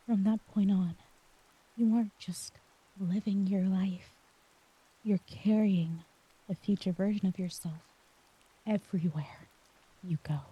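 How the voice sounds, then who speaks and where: A woman performing an emotional ASMR monologue as an exhausted, anxious character, speaking softly and haltingly, often near a whisper. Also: medium pitch, husky, lo-fi sound. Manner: slow, halting, vulnerable delivery. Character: exhausted, anxious woman crushed by others' expectations. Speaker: audio roleplay performer.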